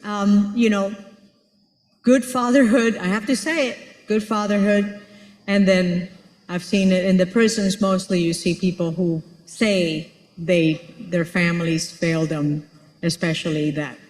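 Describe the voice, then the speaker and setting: A woman's voice: lowish voice, steady tone, unhurried, darkish tone. A woman from Rico, speaking earnestly to an audience and searching for her words as she answers a question. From Puerto Rico. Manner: earnest, searching for words, builds ideas with everyday examples. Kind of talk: unscripted conference talk. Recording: echoey hall with long reverb.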